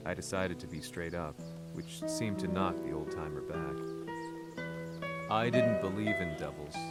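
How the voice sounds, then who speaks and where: A middle-aged man voicing a weary investigative journalist, narrating a game story in a measured, reflective first-person manner. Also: low voice. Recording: over loud guitar score.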